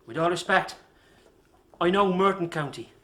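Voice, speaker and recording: High, bright, earnest gang member. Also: male voice, moderate pace. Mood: urgent, earnest. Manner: urgent, pleading, reasoning. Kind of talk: radio drama acting.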